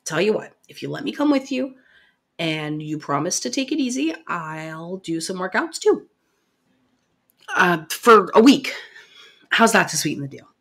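A woman playing a caring, gently bossy partner in an ASMR roleplay, coaxing and affectionate but firm with the listener. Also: fairly low pitch, sing-song, unhurried, long pauses, husky, exaggerated voice. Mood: firm, playful.

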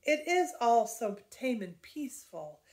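A woman reading the lines of a calm, cheerful pioneer mother in a storybook, soft and content. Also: on the high side, unhurried.

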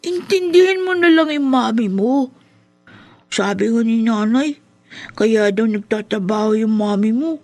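A woman playing a gentle, wistful child in a Filipino radio drama, plaintive and earnest. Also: a little high, animated, a little slow, dry recording. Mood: gentle, consoling.

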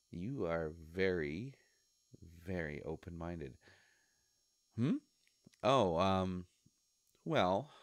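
Man playing a slow, deep, sly devilish shopkeeper. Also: dry recording, exaggerated voice. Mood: smooth, musing, then hesitant, coaxing. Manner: hesitant, coaxing, musing, with drawn-out pauses. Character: sly devilish male shopkeeper of a wish-granting store. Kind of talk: scripted roleplay audio monologue.

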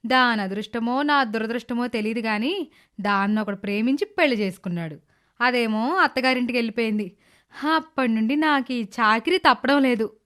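A woman's voice: a little high, normal speed, fairly bright tone, lightly acted. A woman voicing a bitter, hot-tempered stepmother who scolds and complains in a dramatized moral story. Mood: bitter, self-pitying. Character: bitter, hot-tempered stepmother, grudging housewife and doting mother.

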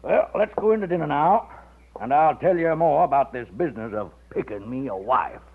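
A man's voice: fairly high pitch, animated, normal speed, over loud music. An actor playing a hearty, commanding Texas plantation colonel in his fifties, blustery and paternal, in an old-time radio drama. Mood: hearty, fond. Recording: muffled vintage radio recording.

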